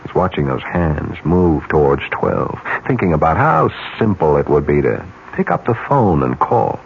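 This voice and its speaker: An actor playing a cynical, persuasive ad executive in a radio mystery drama, smooth, chuckling and insistent. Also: male voice, very deep voice, animated, normal speed, heavily acted. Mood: smugly persuasive. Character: cynical, persuasive male ad executive scheming to corrupt a colleague. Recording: dull, noisy old radio recording.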